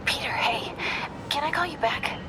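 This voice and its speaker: A woman plays a guarded young woman sneaking around in a video game. She is clipped and hushed, half whispering in a slightly high voice. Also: normal speed, lightly acted, rough recording.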